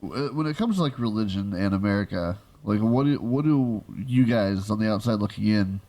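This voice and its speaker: A man co-hosting a podcast with a lowish voice. He asks questions casually and offhandedly, with plenty of fillers. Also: moderate pace, mellow. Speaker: podcast co-host.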